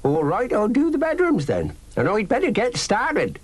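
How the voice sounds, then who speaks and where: A man reading a children's story aloud, voicing an elderly housekeeping woman in a prim, fussy falsetto. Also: wildly swooping pitch, normal speed, full character voice. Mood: resigned. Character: elderly female housekeeper, set in her ways.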